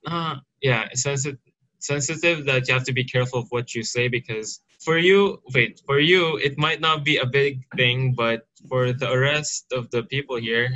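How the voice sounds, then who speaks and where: A man's voice: mid-range voice, moderate pace, sharp tone, dull audio. A young man chatting as a guest, speaking hesitantly and often adding "you know". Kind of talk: casual conversation.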